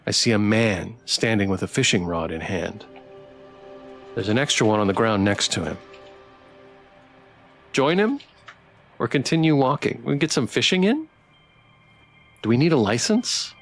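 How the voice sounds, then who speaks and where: A man live-streaming a story game, switching between casual, playful, halting chat with viewers and reading narration aloud. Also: lowish voice, a bit bright. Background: background music.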